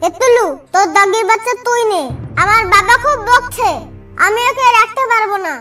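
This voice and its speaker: Woman doing an eager little village kid, tiny high voice, kids cartoon, sounds a bit far. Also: moderate pace, sharp tone, soft background music. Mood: plaintive. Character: plaintive little village boy, innocent and eager. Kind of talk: Bengali children's cartoon voice acting.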